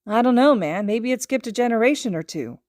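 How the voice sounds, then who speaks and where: A woman voicing a brash, mischievous, excitable young boy who exclaims in casual slang, in an audiobook. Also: fairly high pitch, fairly quick, dry recording, pitched above the performer's own voice, lightly acted. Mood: puzzled, envious. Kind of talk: fan fiction audiobook acting.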